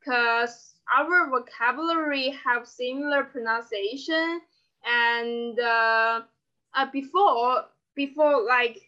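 A young woman from China with a Chinese accent, a native Chinese speaker giving halting, non-native English answers as a podcast guest in casual conversation. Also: on the high side, pushed and bright, muffled recording.

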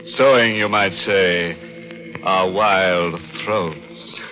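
An actor playing a ghoulish, mock-genteel horror host with sly, theatrical 1940s radio delivery. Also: male voice, sits low, extremely slow, heavily acted. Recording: old radio recording, loud music behind.

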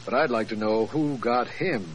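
A probing investigator in a forties radio mystery, acted by a man, middling in pitch and measured in manner. Heavy noise covers the old recording. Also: lightly acted. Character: probing, measured male law official questioning a witness. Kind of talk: 1940s radio drama acting. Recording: very noisy vintage radio recording.

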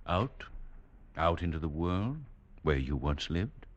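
Very deep, courteous, condescending doctor. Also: male voice, animated, a little hiss or hum. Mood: genial, assured. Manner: courteous, measured, patronising. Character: gentle, condescending leader of a superior hidden race. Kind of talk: 1940s-50s radio drama acting. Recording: dull vintage radio recording.

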